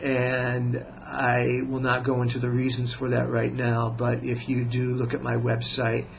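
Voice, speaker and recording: American man, fifties, gravelly, flat and even delivery, unhurried, hesitant pauses, muffled lo-fi recording, faint background hum. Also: medium pitch. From United States. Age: 50s. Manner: slow, measured and deliberate, with many hesitant pauses. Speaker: writer and vlogger. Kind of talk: self-introduction to camera.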